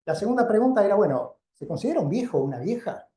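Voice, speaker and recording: Male Argentine lecturer, informal and explanatory, mellow timbre, clearly reverberant room. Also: high voice, sing-song, fast talker. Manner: conversational, didactic, frequent check-in questions. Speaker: doctor giving a keynote lecture. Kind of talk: informal public lecture to a live audience. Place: indoor lecture venue before an audience. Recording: roomy, echoing live lecture sound.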